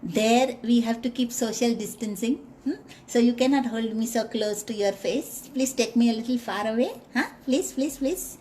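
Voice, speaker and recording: A woman's voice: fairly high pitch, moderate pace, hardly any pauses, darkish tone, slight background noise, natural voice. A woman playing a stammering, timid little mouse. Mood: pleading. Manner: stammering, pleading, full of fillers. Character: small timid male city mouse, fussy and nervous.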